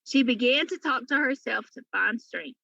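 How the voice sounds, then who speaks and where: Lady reading a story out loud to kids, highish bright voice, bit lo-fi. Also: moderate pace, vocal fry, no room sound. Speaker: teacher reading aloud to pupils. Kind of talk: storybook read-aloud narration.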